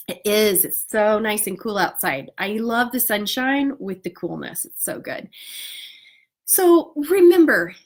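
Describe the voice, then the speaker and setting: A woman with a fairly high, stuffed-up voice that comes out a little nasal. She speaks outdoors as a spiritual coach. Her talk is earnest and encouraging, dotted with "you know" and "right?". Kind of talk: casual motivational talk to an online group.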